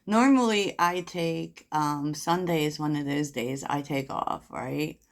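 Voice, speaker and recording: A woman with a soft voice giving a casual tarot reading, chatty and rambling with many fillers, often laughing at her own jokes. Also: sits low, measured pace. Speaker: tarot reader.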